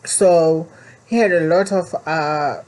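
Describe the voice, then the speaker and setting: A woman's voice: deep voice, slow pace, a little mellow, poor audio. A woman with a South African accent telling viewers her own story and warning them, earnest and preachy, often repeating herself. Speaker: vlogger.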